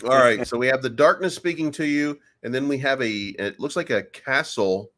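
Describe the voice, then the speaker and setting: A man's voice: mid-range voice, normal speed, pushed and bright. A man hosting a casual livestream chat, joking and rambling loosely. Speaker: host.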